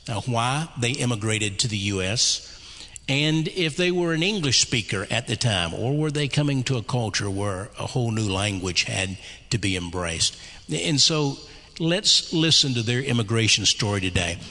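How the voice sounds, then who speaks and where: A man hosting a church panel, speaking in a measured way with frequent fillers. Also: mid-range voice, lively intonation, sharp tone. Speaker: host and moderator. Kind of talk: event introduction. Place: chapel on stage. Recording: long reverb of a chapel.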